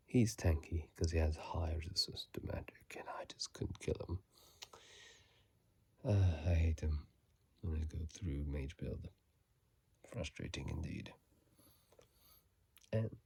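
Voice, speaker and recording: A man whispering softly and closely into a phone mic in an unhurried ASMR style, reading and chatting casually about a video game. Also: sits low, gravelly, slightly rough, no echo.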